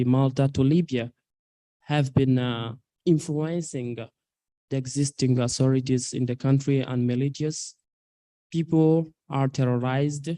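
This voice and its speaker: A man's voice: medium pitch, mellow, no room sound. Earnest young man from South Sudan. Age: 20s. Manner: earnest, halting, with frequent fillers. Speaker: invited activist speaker. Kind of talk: personal testimony at a public talk.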